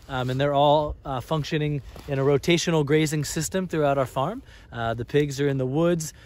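A young man, a farmer, giving an earnest on-site tour outdoors on a snowy field, explaining with frequent fillers. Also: on the high side. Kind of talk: on-site farm tour interview.

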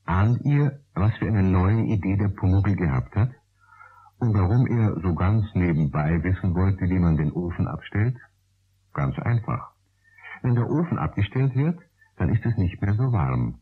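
A man narrating a children's radio play from a script, a husky storyteller who addresses his young listeners. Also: low-pitched, expressive, a little slow, exaggerated voice. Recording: tinny, narrow old radio recording.

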